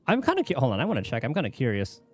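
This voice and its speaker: A man's voice: medium pitch, wildly swooping pitch, rapid-fire, darkish tone, faint music behind. A man chatting casually on a game livestream, rambling and thinking aloud as he goes. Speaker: game streamer. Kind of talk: casual livestream chat while gaming.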